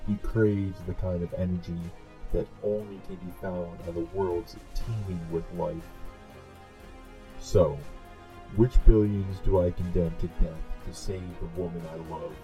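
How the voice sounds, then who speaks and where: A man voicing a solemn, tormented cosmic herald in a comic dub, with a grave, dramatic, deliberate delivery. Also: low voice, level tone, music in the background, low-quality recording. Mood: solemn, burdened.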